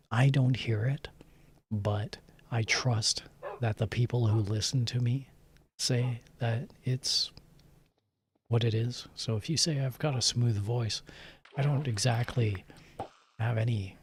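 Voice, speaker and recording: A man streamer chatting casually late at night in a calm, soft-spoken way, chuckling and sometimes dropping to a whisper. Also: mid-range voice, unhurried, long pauses, a little hiss or hum. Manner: relaxed, chuckling, drifting into whispers.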